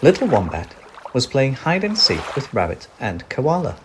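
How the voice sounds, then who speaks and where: A man narrating a children's story in an expressive, gentle, deliberate way. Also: mid-range voice, noisy background. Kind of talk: children's read-aloud narration. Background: crickets, animal and nature sound effects.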